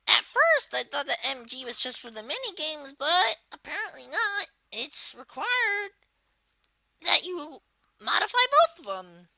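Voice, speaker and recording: A woman voicing a cheeky cartoon villain kid, giving a software tutorial in a casual, halting way with filler words. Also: high voice, very animated, gravelly, no room sound, full character voice, poor audio. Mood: casual, explaining. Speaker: tutorial presenter.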